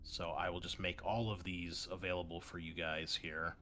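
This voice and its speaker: A man's voice: low voice, little pitch movement, moderate pace, sharp tone, faint music behind. A man narrating a tabletop game as game master in a casual, informal way, with some swearing. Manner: informal, profane. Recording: noticeable background noise.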